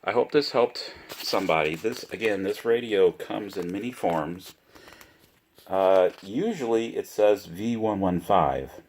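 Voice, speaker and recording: A man giving a casual, unscripted product demonstration, speaking deliberately and repeating himself for emphasis. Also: fairly low pitch, darkish tone, slight background noise. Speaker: product reviewer.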